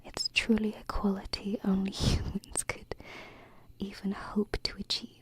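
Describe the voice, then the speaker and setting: A woman whispering softly and intimately into a close binaural microphone in an ASMR roleplay as a gentle, adoring alien princess, with hesitant pauses. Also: mid-range voice, completely flat delivery. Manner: soft, slow, hesitant phrasing with pauses.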